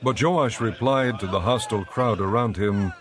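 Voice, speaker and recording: A man narrating a dramatized audio Bible in a measured storytelling manner. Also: lowish voice, a crowd in the background.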